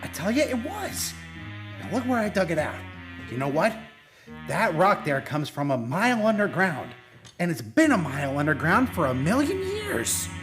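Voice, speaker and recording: A man plays a nervous, sheepish American oilfield geologist in a radio play. His voice sits a little high and leaps around in pitch, with a hesitant, stammering delivery. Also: very animated, normal speed, music in the background. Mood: excited alarm. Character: skinny, jittery, sheepish male oil field geologist.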